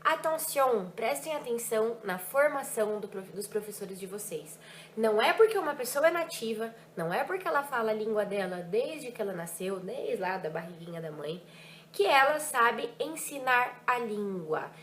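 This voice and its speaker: Young woman, French teacher vlogging, fairly high voice, expressive and emphatic, echoey room, faint hiss. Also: normal speed. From Brazil, Ribeirão Preto. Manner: lively, emphatic, drops French words into Portuguese. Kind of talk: personal reflection vlog.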